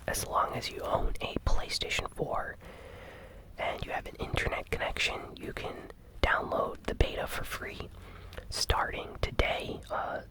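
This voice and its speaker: A host whispering an ASMR gaming news recap in a breathy, unhurried, hushed and gently enthusiastic way. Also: rough recording.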